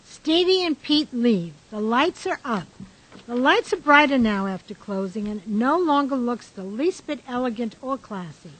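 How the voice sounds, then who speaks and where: A woman's voice: fairly high pitch, animated, moderate pace, fairly bright tone, rough recording. A woman giving scripted narration, reading the stage directions of a radio drama.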